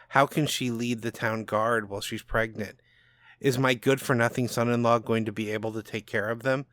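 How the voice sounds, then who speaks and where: A man playing a bitter, self-loathing old father in a subdued, halting audiobook narration. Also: lowish voice, a bit bright, no echo, clean studio sound.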